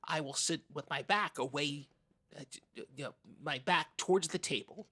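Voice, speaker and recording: A man's voice: a little high, moderate pace, pushed and bright, breathy edge. A man playing an earnest, easily rattled oddball co-host on a comedy podcast, rambling and stammering as he talks. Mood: sincere, halting. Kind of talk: improvised comedy chat in character.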